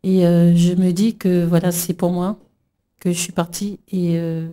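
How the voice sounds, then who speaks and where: A middle-aged woman from New Caledonia giving an emotional religious testimony, halting with many fillers and restarts. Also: fairly low pitch, flat delivery, mellow. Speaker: church member giving testimony.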